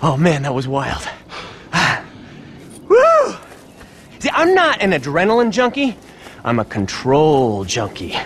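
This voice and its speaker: Intense adrenaline-chasing bro, video game. Boastful, high-pitched, extremely expressive delivery. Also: male voice, slow pace, long pauses, a little hiss or hum, heavily acted. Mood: excited. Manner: boastful, goading, bro-ish. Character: cocky, intense male thrill-seeking extreme sports bro. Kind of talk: video game cutscene dialogue.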